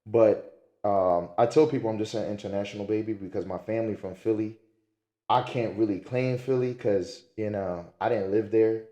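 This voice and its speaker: A man's voice: on the low side, fairly even intonation, normal speed, mellow. Laid-back slangy man in an echoey room. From raised in the Middle East and North Africa. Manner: laid-back slangy storytelling, frequent "and whatnot". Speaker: podcast guest. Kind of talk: casual conversation.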